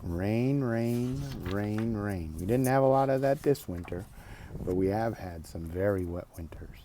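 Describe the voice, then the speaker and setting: A man reading a children's picture book aloud expressively, drawing out words, pausing and adding casual asides. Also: on the low side, a little hiss or hum. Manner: expressive, with drawn-out words and pauses. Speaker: storybook reader.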